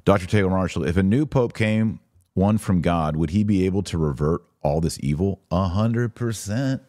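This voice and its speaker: A man with a low-pitched voice hosts a live podcast, captured close and dry with very little room sound. He moves between reading listener comments and commenting on them in an informal, emphatic way. His delivery is plainly opinionated. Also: moderate pace, mellow, no room sound. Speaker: podcast host.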